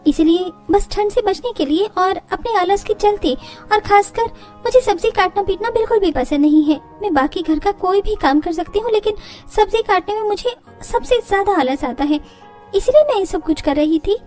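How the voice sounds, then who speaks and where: Woman voicing a high, earnest young daughter-in-law. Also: expressive, moderate pace, a little mellow, soft background music. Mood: confessing.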